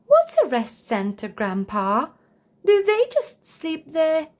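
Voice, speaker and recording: A woman's voice: high voice, huge pitch swings, slow pace, darkish tone, voice pushed up, full character voice. A woman voicing a young boy giraffe in a storybook, plaintive, curious and full of questions. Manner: pleading, questioning.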